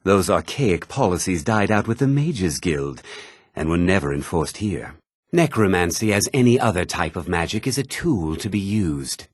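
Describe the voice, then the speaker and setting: An actor voicing a learned, haughty mage scholar in a fantasy video game, speaking formally and with measured, precise diction. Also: male voice, low-pitched, lively intonation, slightly rough, no room sound, studio quality. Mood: measured, matter-of-fact. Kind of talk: scripted fantasy game dialogue.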